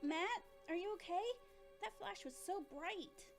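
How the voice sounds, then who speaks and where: A man voicing a worried, timid young girl who pleads softly in an audio story. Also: very high voice, unhurried, gravelly, faint music behind, voice pushed up, full character voice. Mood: worried, pleading.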